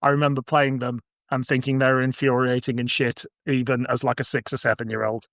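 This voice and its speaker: A man's voice: mid-range voice, little pitch movement, brisk, gravelly, a bit bright, dry recording, clean studio sound. A man in his forties hosting a podcast, switching between casual chat and scripted summaries. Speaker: podcast host.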